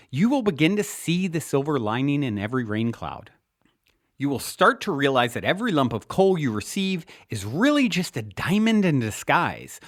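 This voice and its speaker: Man narrating with very animated intonation. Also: on the high side, no echo, studio quality. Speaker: author reading own book. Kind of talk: self-help audiobook narration.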